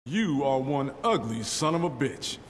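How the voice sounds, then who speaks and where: An actor as a tough male soldier in a video game, blunt and wry. His medium-pitched voice comes over clearly noisy ambience. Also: expressive, measured pace, natural voice. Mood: gruff banter. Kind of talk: video game fighter banter. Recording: game ambience noise behind.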